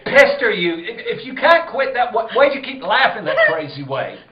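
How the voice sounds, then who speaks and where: A man's voice: very high voice, very animated, moderate pace. An actor playing a suspicious, officious country man in a radio play, with rustic dialect and an easily rattled, stammering bluster. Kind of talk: theatrical radio-play acting. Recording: dull, echoey, noisy lo-fi recording.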